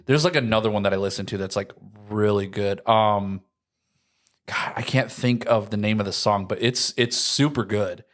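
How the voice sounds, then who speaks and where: A man's voice: fairly low pitch, moderate pace. Young guy on a podcast, rambly casual chat, says like a lot. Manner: rambling, uses "like" constantly. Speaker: podcast co-host.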